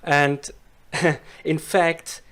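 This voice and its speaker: A Swiss man whose first language is Swiss German, speaking careful, slow learner's English as an interview guest. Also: on the high side, flat delivery, fairly bright tone, smooth. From Switzerland. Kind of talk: casual interview chat.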